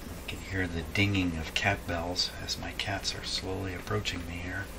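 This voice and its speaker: A man hosting a hands-on repair demonstration, thinking aloud casually in an unhurried, musing way with dry humor. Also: low-pitched, level tone, gravelly, heavy background noise. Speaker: host of a radio repair video. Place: indoor home repair workshop.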